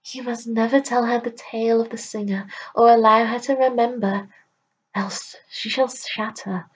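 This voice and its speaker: Shrill old hollering crone, as a woman puts her on. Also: fairly even intonation, moderate pace, slightly rough. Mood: sly. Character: old hollering crone selling charms, sly, cackling. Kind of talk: storybook reading. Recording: muffled recording.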